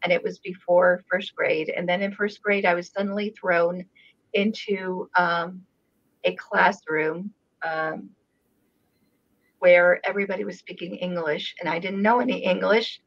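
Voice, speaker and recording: A lower-pitched woman who grew up in Israel, with Hebrew as her first language, talks through a webcam from a room at home. She speaks slowly and pauses often, filling the gaps with "um" and "uh". The call audio is dull and lo-fi. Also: fairly even intonation. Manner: unhurried, with many pauses and fillers. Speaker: channel host. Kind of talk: casual video call conversation. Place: home room with framed painting. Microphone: video call webcam.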